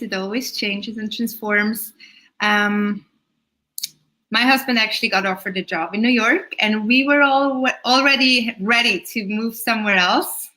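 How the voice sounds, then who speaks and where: A woman's voice: a little high, bright, forceful tone, rough recording. A woman in her thirties from Switzerland, a yoga teacher speaking casually as an interview guest, with Swiss German as her first language. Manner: chatty, laughs between thoughts.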